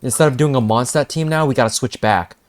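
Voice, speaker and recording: A man's voice: mid-range voice, fast talker. A young man giving casual, slangy live commentary as he reacts to a video game. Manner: informal, slangy. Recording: game music faint behind.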